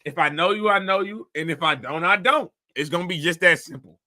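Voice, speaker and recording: A man's voice: high voice, lively intonation, brisk, bright, forceful tone. A man hosting a casual commentary show, talking bluntly and animatedly in a rambling way. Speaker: host.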